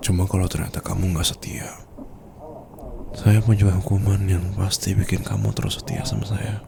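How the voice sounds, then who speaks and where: A man's voice: deep voice, level tone, moderate pace, creaky, background noise. A man with an Indonesian accent playing a possessive, teasing boss and doting fiancé in an intimate ASMR roleplay, flirtatious and playfully domineering. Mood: jealous, defensive. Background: ambient room sound effects.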